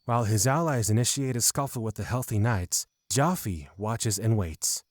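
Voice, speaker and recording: A man's voice: fairly low pitch, normal speed, dry recording, studio quality. A man narrating a scripted fantasy audio drama.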